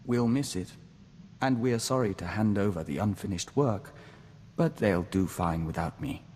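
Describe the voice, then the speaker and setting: A man's voice: fairly low pitch, lively intonation, normal speed, darkish tone. A man playing a serene diplomat joined to an insect hive mind in a game, speaking formally and with measure, calling himself "we.". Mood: calm, reflective. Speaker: game companion character. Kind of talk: scripted game dialogue acting. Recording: reverberant hall, clear background noise.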